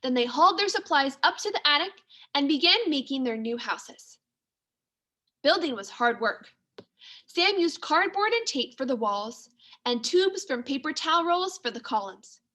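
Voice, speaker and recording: A woman teacher reading a children's story aloud in an expressive, clearly paced manner. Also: sits high, moderate pace. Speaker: second grade teacher.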